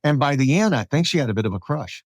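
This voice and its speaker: Male interviewer, mid-range voice with animated intonation, casual, dry recording. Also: medium pitch, fast, no echo. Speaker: podcast host, interviewer. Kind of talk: casual chat.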